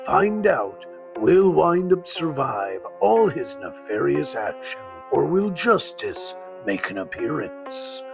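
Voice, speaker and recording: Animated man hosting, grandiose delivery. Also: a little high, takes it slowly, gravelly, over music. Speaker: podcast host. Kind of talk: scripted, theatrical show introduction.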